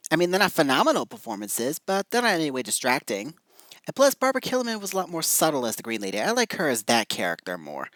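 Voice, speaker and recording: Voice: mid-range voice, a bit bright, dry recording. Opinionated reviewer, close dry recording. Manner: opinionated, emphatic repetition. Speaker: amateur film and audio drama reviewer. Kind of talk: casual opinion commentary, ranking adaptations.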